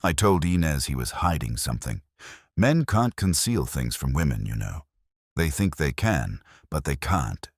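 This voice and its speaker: A man's voice: rumbling low, very animated, a little slow, husky, no echo, clean studio sound, full character voice. A man voicing a gossipy society woman, confiding and dramatic, in an audiobook mystery.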